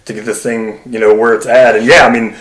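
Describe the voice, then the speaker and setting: A man's voice: mid-range voice, flat delivery, a little fast, fairly bright tone, echoey room. A man co-hosting a podcast, chatting casually in an easygoing, rambling way. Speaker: podcast co-host. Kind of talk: casual chat.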